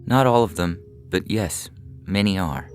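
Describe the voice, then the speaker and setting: A tired mother in a narrated story, played by a man, gently reassuring while holding back despair. The voice is low, with music underneath. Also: unhurried. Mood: grave, gentle. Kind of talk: audio short story character reading. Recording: music behind the voice.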